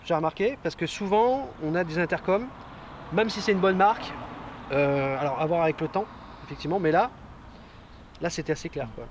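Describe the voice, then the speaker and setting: A man's voice: on the high side, a little fast, long pauses. A man chatting casually about gear, in an informal, colloquial way. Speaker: videographer giving product opinions. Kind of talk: casual chat. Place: outdoors by a street. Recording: outdoors with faint traffic noise.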